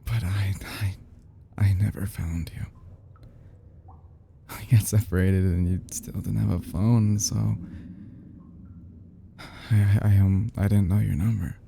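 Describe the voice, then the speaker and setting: A man playing an obsessive, lovesick young yandere kidnapper in an ASMR roleplay drama, stammering and sighing with halting, fragile tenderness, often dropping into breathy half-whispers. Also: lowish voice, fairly even intonation, warm tone, slight background noise. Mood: regretful, aching.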